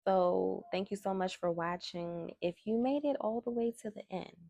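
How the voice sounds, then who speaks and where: A soft-spoken woman doing a tarot card reading, talking in a slow, musing way. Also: mid-range voice, gravelly, dry recording. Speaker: tarot reader. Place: indoors at a table.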